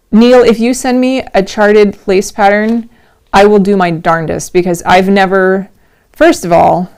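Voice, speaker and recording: A middle-aged woman, a little hoarse, hosting a casual livestream from home, chatty and rambling with frequent laughs. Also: medium pitch, darkish tone. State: slightly hoarse. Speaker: host of a knitting livestream.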